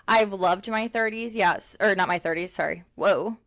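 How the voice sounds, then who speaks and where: Twenties woman co-host, loose and chatty, leans on "like", laughs easily, medium pitch. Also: moderate pace, fairly bright tone. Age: 20s. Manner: chatty, rambling, heavy use of "like", laughs often. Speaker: podcast co-host. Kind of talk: casual chat.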